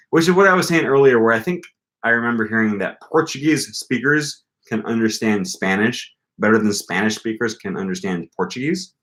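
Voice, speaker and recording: A young man from the United States with a lowish voice chats loosely through a live stream at home. He reads out viewer comments and rambles his answers, full of ums, uhs and restarts. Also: a bit bright. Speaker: language-learning channel host. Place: home room.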